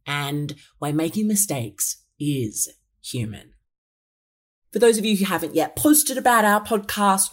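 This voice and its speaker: Animated, upbeat female podcast host. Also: sits low, slightly rough. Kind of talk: podcast hosting, scripted intro then chat.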